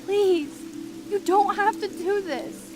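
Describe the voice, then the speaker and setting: A woman's voice: very high-pitched, takes it slowly, over music. A woman playing an earnest, determined teenage schoolgirl coaxing a friend in a video game drama. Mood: pleading, reassuring. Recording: clearly noisy, rain pattering behind.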